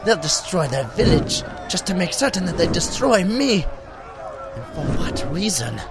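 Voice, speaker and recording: An actor playing a hunted, anguished and proud young mutant in a superhero audio drama. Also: male voice, sits high, sing-song, moderate pace, loud music behind the voice, over a noisy crowd. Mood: desperate, angry. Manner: theatrical, dramatic soliloquy. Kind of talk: fan-made superhero audio drama acting.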